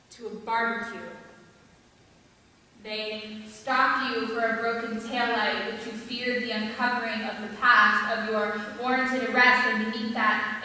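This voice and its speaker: A teenage American girl performing slam poetry with dramatic, deliberate, emphatic delivery. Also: high voice, bright, forceful tone. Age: teenager, high school sophomore. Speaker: student poet. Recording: very echoey hall, distant microphone.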